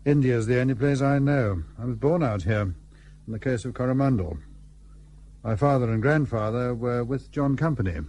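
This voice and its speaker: An actor playing a polite, inquisitive, older colonial hotelkeeper in a period radio play, with old-fashioned phrasing. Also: male voice, mid-range voice, normal speed, darkish tone, a little hiss or hum, natural voice. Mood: curious. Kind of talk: period radio drama acting.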